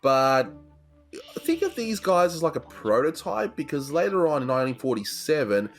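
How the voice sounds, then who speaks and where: A man's voice: fairly high pitch, very animated, moderate pace, faint music behind. A man hosting a casual animation review, chatty and analytical, with frequent restarts. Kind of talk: casual cartoon review commentary.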